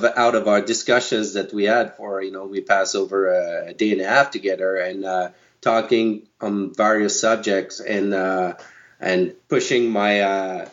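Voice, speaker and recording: A man, speaking fairly low and feeling his way through his answers with a lot of "uh" and "you know." His voice comes through a dull, lo-fi line. He is a guest coach talking about his path into coaching. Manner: halting, many fillers and restarts. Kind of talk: podcast interview answers.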